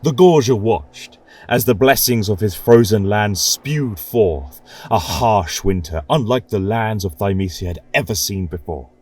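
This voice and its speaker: Slow, husky male storyteller with a theatrical lecturer's delivery. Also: fairly low pitch, animated, a little mellow. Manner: theatrical, ominous pauses. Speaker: storyteller posing as a history lecturer. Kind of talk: dramatic fantasy storytelling.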